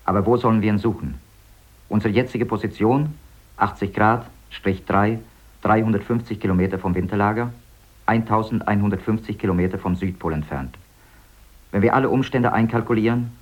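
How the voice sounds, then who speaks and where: An actor playing a rescue team leader who reads a terse, formal, matter-of-fact situation report in a 1950s German radio drama. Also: male voice, fairly low pitch, a little fast, slightly rough. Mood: sober, urgent. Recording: dull, band-limited old radio recording.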